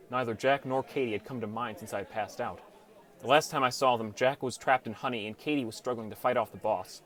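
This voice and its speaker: A man reading scripted first-person story narration as an audiobook narrator. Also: on the low side.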